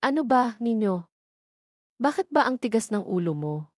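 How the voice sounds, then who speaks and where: A woman's voice: on the high side, big pitch swings, normal speed, dry recording, clean studio sound. Woman acting a feisty, scolding young college woman. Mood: irritated. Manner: scolding, argumentative. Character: feisty, bossy, easily irritated young female college student.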